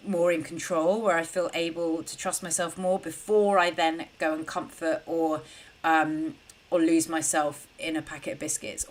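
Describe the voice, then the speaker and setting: A woman's voice: on the low side, a bit bright. A young adult woman, hosting a solo podcast and giving earnest, conversational advice with frequent fillers. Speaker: podcast host and coach.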